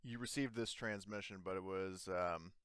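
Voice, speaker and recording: A man with a fairly low, smooth voice narrates a tabletop roleplaying session as game master. His delivery is casual and conversational, and the sound is close and dry. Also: moderate pace, fairly bright tone, dry recording.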